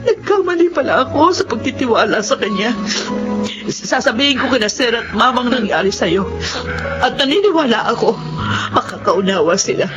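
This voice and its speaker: Lady playing a dramatic filipino mom in a radio drama, protective, high voice, music behind, muffled. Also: lively intonation, normal speed, close to an everyday voice. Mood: remorseful, comforting. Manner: fervent, melodramatic. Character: protective, emotional middle-aged mother, a housemaid.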